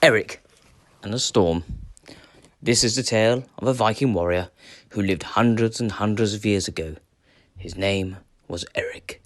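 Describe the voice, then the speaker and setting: A man reading a children's adventure tale aloud as a storyteller, with dramatic, measured phrasing. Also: fairly low pitch. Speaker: storyteller, teacher.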